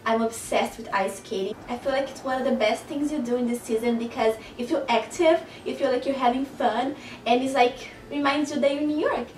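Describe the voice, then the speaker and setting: A woman's voice: high voice, brisk, a little hiss or hum. A young woman from Brazil with a Brazilian Portuguese accent, chatting to camera in a casual vlog and laughing often. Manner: chatty, laughs often, stresses words by repeating them. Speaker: vlogger. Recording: roomy, slightly distant camera audio.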